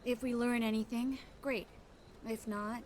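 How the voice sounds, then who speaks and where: An actress voicing a resolute young-sounding sorceress in a video game, speaking in an earnest, assured manner. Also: female voice, a little high, steady tone, unhurried, lightly acted. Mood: resolved, tender. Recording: music behind the voice.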